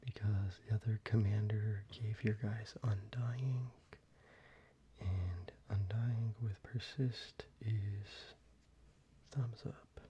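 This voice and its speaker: A man speaking in a soft, breathy whisper, gently rambling in a casual ASMR style. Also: lowish voice, fairly even intonation, slow. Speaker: ASMR video creator. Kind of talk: soft-spoken ASMR, casual card-collection show-and-tell. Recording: muffled, with background hiss.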